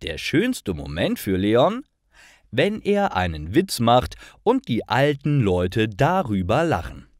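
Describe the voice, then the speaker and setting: A man reading scripted stories for language learners, with careful, deliberate enunciation. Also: mid-range voice, huge pitch swings, no echo, studio quality. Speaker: language-course narrator.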